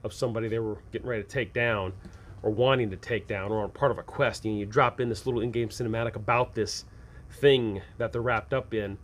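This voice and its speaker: A man's voice: fairly low pitch, steady tone, quick pace. Emphatic man giving informal advice. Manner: conversational, emphatic, repeats points. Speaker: video host and game master. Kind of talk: informal advice talk to viewers about running tabletop games.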